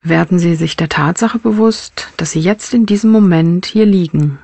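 A woman narrating a guided body scan meditation as a mindfulness teacher, with measured, gentle instructional phrasing. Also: fairly low pitch, no echo.

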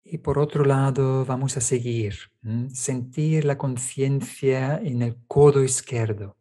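A man teaches a guided meditation at a very unhurried pace. His voice is soft-edged and mellow, sitting at an ordinary male pitch. He gives calm, gentle instructions step by step.

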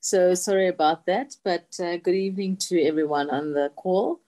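A low, mellow female voice that comes out husky because she is unwell, heard over a video call. She answers politely and thoughtfully, with plenty of "um" and "uh" between her points. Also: moderate pace. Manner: polite, thoughtful, with many fillers. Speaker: HR contributor from the mining industry. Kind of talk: panel answer.

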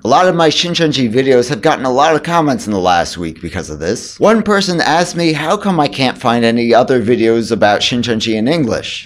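A man in his thirties with a hoarse voice, talking casually to camera as a vlogger and dropping dry, sarcastic asides. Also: mid-range voice. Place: indoors in front of a brick wall.

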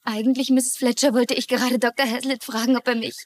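A woman's voice: on the high side, level tone, fast talker, no echo, natural voice. An actress playing a hesitant but self-important female town gossip in a dubbed crime series. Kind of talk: dubbed TV crime drama dialogue.

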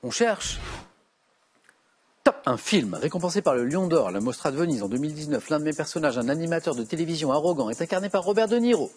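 A middle-aged man hosting a TV quiz show, reading clues briskly and giving quick verdicts. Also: on the high side, very animated, a little hiss or hum. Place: large TV studio set.

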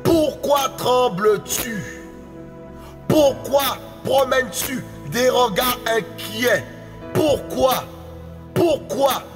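A man's voice: very high voice, lively intonation, extremely slow. A man delivering a prophetic utterance, with intense, repetitive declaiming and speaking in tongues. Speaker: prophetic preacher. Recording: long hall reverb, faint music behind.

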